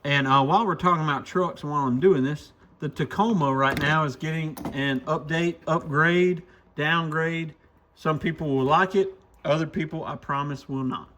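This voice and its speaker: A man's voice: fairly high pitch, normal speed, fairly bright tone, slight background noise. A man hosting a casual hands-on product demo, thinking aloud informally as he tinkers. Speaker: radio shop reviewer and video host.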